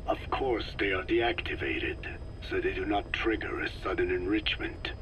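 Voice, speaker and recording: An actor playing a solemn, elderly Navajo scientist in a video game, speaking in a breathy whispery rasp with halting, measured phrases. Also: male voice, low voice, lively intonation, a bit bright, highs cut off, a little hiss or hum. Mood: grave, explaining. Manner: slow, measured, halting delivery in short phrases. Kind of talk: dramatic video game dialogue.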